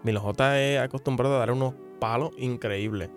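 A man's voice: medium pitch, a little fast. A man from Puerto Rico with a Puerto Rican accent, co-hosting a casual music chat and often stumbling and restarting his sentences. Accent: Puerto Rican Spanish. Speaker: podcast co-host.

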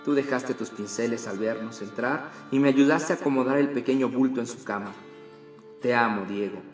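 A man reading aloud the letter of a lovesick Russian woman, in a tender, quiet and wistful way. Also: mid-range voice, moderate pace, roomy sound, soft background music, close to an everyday voice. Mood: tender longing.

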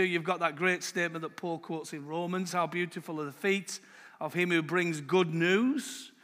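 A man preaching a sermon in a loud voice, slowing down to read scripture with emphasis. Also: on the high side, in a large hall.

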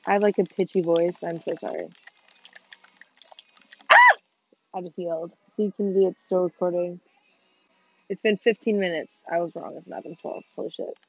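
A teenage girl co-hosting a casual gaming podcast with friends, talking fast, swearing and running herself down, with excited outbursts. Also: lowish voice. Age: teens. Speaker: podcast co-host. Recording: muffled dull sound, faint music behind.

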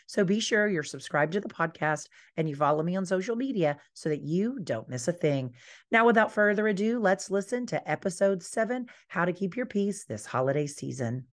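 Hoarse woman coach, deep and low, earnest and encouraging, solo podcast talk. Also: animated, moderate pace. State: hoarse, recovering from losing her voice. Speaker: life coach and podcast host.

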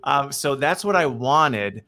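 A bright, upbeat man hosting a live video chat. He keeps things moving with a lot of okays and all rights, in a casual, friendly tone over a call mic. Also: mid-range voice, animated, slow. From American Midwest. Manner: upbeat, repeats okay and all right often. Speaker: host.

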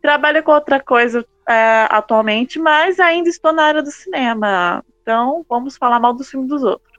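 A woman's voice: high-pitched, normal speed, a bit bright, no room sound, lo-fi sound. Woman guest on a podcast, joking around, very dry close sound. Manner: playful, teasing. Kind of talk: casual podcast chat.

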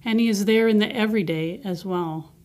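A woman with a medium-pitched voice reads a devotional from a script. Her delivery is measured and earnest, suited to scripture and personal reflection. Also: a little mellow. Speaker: devotional reader.

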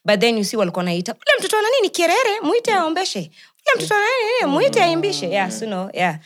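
A Kenyan woman, a podcast guest and actress, chatting casually in a lively storytelling way and switching between English and Swahili. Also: extremely high pitch, fast talker, crowd noise. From Kenya, raised in Nairobi. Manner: lively storyteller, animated when telling anecdotes.

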